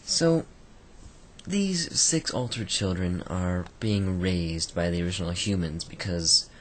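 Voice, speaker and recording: A man with a croaky voice giving a casual, rambling book review, full of fillers and restarts. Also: low voice, slow pace, a little hiss or hum.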